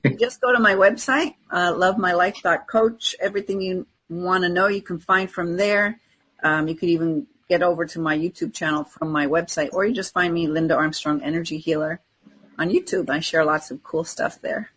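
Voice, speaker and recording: Husky-voiced woman in casual conversation. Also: on the low side, fairly even intonation, normal speed, a bit bright, poor audio. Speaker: podcast co-host, energy coach.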